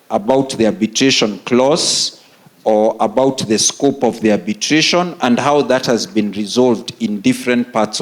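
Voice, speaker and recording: Guy moderating a panel, calm measured questions. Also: medium pitch. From Kenya. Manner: measured, asks long questions.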